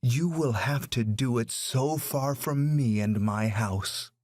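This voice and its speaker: A man's voice: medium pitch, slow pace, a little mellow, dry recording, studio quality. A man playing a harsh, shamed father who scolds his family with furious scorn.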